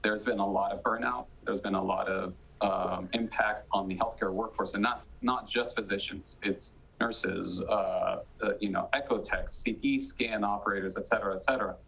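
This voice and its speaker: A man with a low, rough-edged husky voice speaks as a medical panelist. His unscripted remarks come in measured phrases with hesitations. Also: fairly even intonation, a bit bright, rough recording. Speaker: panelist, chief medical officer.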